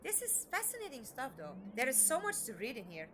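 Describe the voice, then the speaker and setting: Woman as an eager, high-voiced young companion. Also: wildly swooping pitch, a little fast, noisy background. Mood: fascinated. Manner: wide-eyed, enthusiastic. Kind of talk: audio drama acting.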